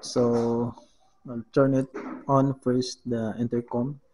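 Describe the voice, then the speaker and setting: A man with a Filipino accent giving a casual how-to demonstration as a vlogger, halting as he searches for words and mixing two languages. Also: medium pitch, flat delivery, darkish tone. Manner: halting, searching for words, switches between Tagalog and English. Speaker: vlogger giving a gadget tutorial.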